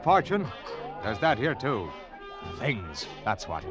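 An actor playing a genial older drifter in an old radio drama, florid, poetic and ingratiating. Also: male voice, mid-range voice, big pitch swings, slow. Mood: genial, insinuating. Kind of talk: 1940s-50s radio drama acting. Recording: dull old radio recording with music.

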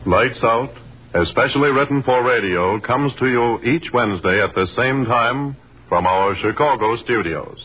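A man's voice: medium pitch, normal speed, husky. A man making a formal 1930s radio announcement as a network announcer. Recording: muffled 1930s radio recording.

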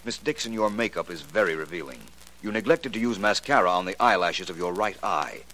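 Suave gentleman sleuth, lowish lively voice, dry wit, faint music in the background. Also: fairly quick, low-quality recording. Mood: urbane. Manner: polished, wry, deductive. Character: suave, urbane male detective. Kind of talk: 1940s radio acting.

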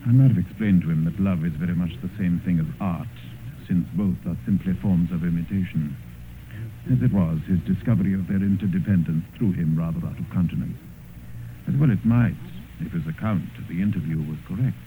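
An actor playing a worldly, cynical gentleman in an old radio drama, suave and amused, with drawling, epigrammatic delivery. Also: low voice, fairly quick, close to an everyday voice. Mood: languid, dismissive. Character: worldly, cynical English gentleman, older mentor and corrupter. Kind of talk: 1950s radio drama acting and first-person narration. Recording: muffled, hissy old radio broadcast.

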